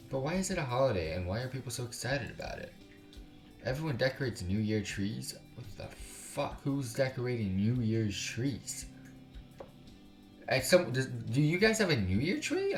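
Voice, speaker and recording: A man's voice: mid-range voice, very animated, moderate pace. A man hosting a casual gaming live stream, chatting informally to viewers with slang and swearing. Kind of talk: casual live-stream chat with game text read aloud. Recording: roomy room echo, music behind. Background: music.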